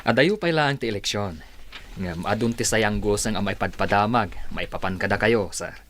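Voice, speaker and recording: A man acting a respectful, admiring attorney and adviser in a radio drama, expressive and deferential. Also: lowish voice, normal speed, slight background noise, close to an everyday voice.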